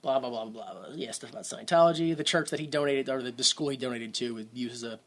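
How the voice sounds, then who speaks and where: A man's voice: mid-range voice, fast talker. A man co-hosting a casual movie podcast, rambling with sarcastic asides. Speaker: podcast co-host.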